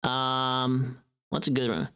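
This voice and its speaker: A woman's voice: a bass voice, flat delivery, slow pace, a bit bright, clean tone. A woman livestream host chatting casually, rambling playfully and muttering to herself as she goes.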